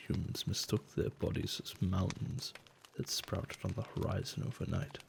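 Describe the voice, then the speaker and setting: Guy doing a big gentle giant for asmr, low gravelly creaky voice, soft n caring, bit of hiss behind. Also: exaggerated voice. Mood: reassuring, curious. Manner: slow, soothing, affectionate, slightly formal phrasing. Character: gentle male giant, tender, curious and caring toward humans. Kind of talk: soft-spoken ASMR roleplay acting.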